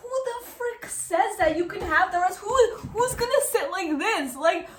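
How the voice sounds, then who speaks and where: A woman's voice: extremely high pitch, animated, a little slow, roomy sound. A woman giving casual reaction commentary in a slangy, exclamatory way. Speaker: reaction video host.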